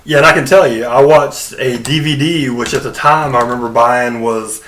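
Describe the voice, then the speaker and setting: A man's voice: medium pitch, normal speed, a bit bright. A man co-hosting a podcast, chatting casually about films in a blunt, informal way. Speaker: podcast co-host. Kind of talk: casual film chat.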